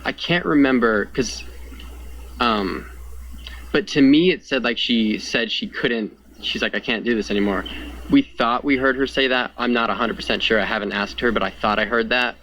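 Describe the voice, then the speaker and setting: A man's voice: mid-range voice, moderate pace, fairly bright tone, low-quality recording. A young man giving an earnest, conversational personal account in an interview, often saying "like" as he goes. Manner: earnest, conversational, frequent 'like'. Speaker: fire survivor being interviewed. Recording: clear background noise.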